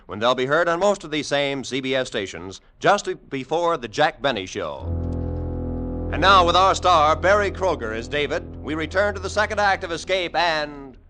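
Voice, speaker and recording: A man's voice: a little high, very animated, moderate pace, sharp tone. A man announcing in scripted 1940s network radio style. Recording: vintage 1940s radio broadcast. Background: music.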